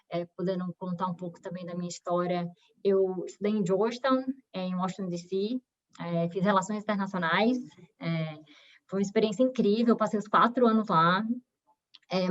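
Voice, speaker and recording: Chatty Bahian woman on a lo-fi call. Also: mid-range voice. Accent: Bahian Brazilian Portuguese. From Bahia, Brazil. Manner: chatty, many fillers and restarts. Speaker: guest, recruiter. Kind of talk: webinar interview. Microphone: video call. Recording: dull lo-fi video call audio.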